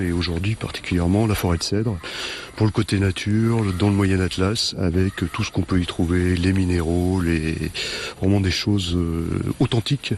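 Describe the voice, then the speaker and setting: A man interviewed as a tourist, answering spontaneously in a hesitant, halting way. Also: sits low, darkish tone, slight background noise.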